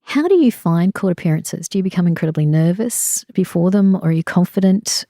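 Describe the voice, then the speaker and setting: A woman's voice: fairly low pitch, lively intonation, fairly quick, mellow, no room sound, clean studio sound. A woman hosting a podcast, asking polite, direct interview questions. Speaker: podcast host.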